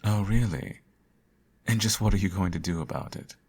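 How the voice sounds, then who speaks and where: A man playing a teasing, doting supervillain in an intimate ASMR roleplay, suave and coaxing, with a gravelly, husky voice. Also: low voice, lively intonation, moderate pace, a little mellow, exaggerated voice. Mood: playful, gloating. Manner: suave, theatrical, coaxing, uses pet names. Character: teasing, doting male supervillain nursing his hero nemesis.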